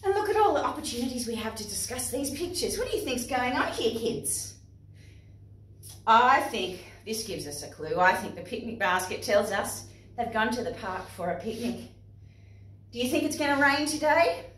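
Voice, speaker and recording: A woman with an Australian accent presenting to young children and parents in an expressive, instructive way. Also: on the high side, normal speed, a little hiss or hum. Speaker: playgroup facilitator and presenter. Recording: echoey room, distant microphone.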